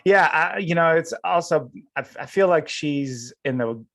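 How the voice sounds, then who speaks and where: A man's voice: a little high, lively intonation, a bit bright. A man hosting a casual interview, feeling his way through questions and often rephrasing them. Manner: halting, rephrases questions as he goes. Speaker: podcast host, interviewer.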